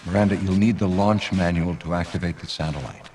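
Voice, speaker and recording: A man plays a serious mission controller in a live-action game cutscene. His low voice issues grave, urgent orders, with slight noise behind it. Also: brisk, darkish tone, close to an everyday voice. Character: grave male mission controller briefing a soldier. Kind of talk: scripted video game mission briefing.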